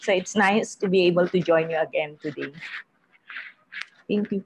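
Woman, from the Philippines, warm brief intro, husky breathy voice, lowish pitch. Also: moderate pace, no echo, poor audio. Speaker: university attendee. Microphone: video call.

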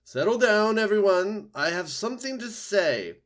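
A man's voice: high voice, big pitch swings, normal speed, a bit bright, voice pushed up. A man voicing a self-important, alarmed owl in an animal story, declaiming in a screechy hoot. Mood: anxious, announcing. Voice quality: screechy, hooting. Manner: declaiming, agitated.

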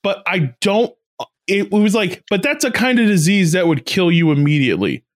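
A man co-hosting a casual comedy podcast, riffing on a movie, laughing often and explaining his points in halting restarts. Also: high-pitched, expressive. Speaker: podcast co-host.

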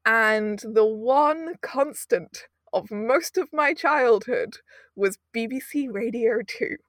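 A woman's voice: fairly high pitch, slow pace, fairly bright tone, no echo, studio quality. A woman in her twenties from the UK, chatting casually as an interview guest, rambling with frequent laughs. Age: 20s. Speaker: audio producer, guest.

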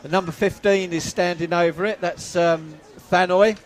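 A man giving live play-by-play football commentary outdoors, excitable and losing his voice. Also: high-pitched, normal speed. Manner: excitable, shouts at goals, self-mocking. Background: crowd noise.

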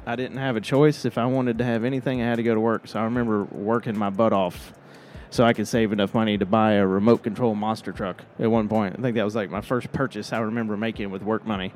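A man hosting a live interview on an expo stage, chatting casually and answering with quick affirmations. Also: fairly low pitch, steady tone, darkish tone, slight background noise. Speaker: podcast host.

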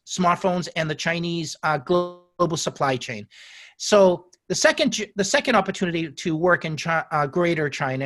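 Guy in his 60s rambling thru his career story in an interview, bit higher voice, lots of restarts. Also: fairly bright tone. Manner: storytelling, self-correcting restarts. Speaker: guest. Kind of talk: interview answers.

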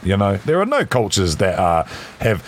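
A middle-aged man with a deep voice, chatting casually on a podcast and swinging between high, animated bursts and very low tones. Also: normal speed, slight background noise. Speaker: podcast guest.

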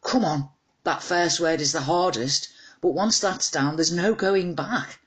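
A woman's voice: deep voice, unhurried. A woman voicing a scatterbrained, easily distracted woman writer in a short story, chattering to herself in a flustered way. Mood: self-cajoling. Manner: chatty, flustered self-talk. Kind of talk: short story character dialogue.

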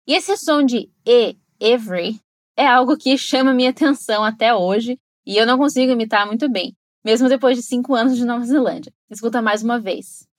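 A woman's voice: on the high side, brisk, no echo, clean studio sound. A woman with a Brazilian Portuguese accent, hosting an educational podcast and explaining things with enthusiasm and asides to the listener. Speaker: podcast host and English teacher. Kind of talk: scripted educational podcast narration.

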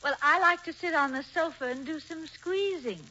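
High, bright glamour girl. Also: moderate pace, a little hiss or hum. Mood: breezy, dismissive. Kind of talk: 1940s radio comedy acting. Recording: dull 1940s radio recording.

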